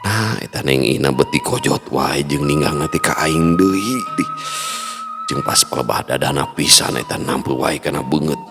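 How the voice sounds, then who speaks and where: A man's voice: very deep voice, expressive, normal speed. A man voicing a flustered young man muttering his worries under his breath. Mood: anxious. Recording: reverb and faint music.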